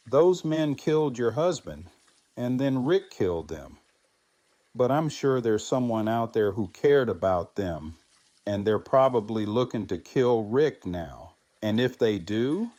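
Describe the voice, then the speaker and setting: A man playing a calm, firm pacifist in an audio drama, speaking in a measured, earnest way. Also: medium pitch, dry recording.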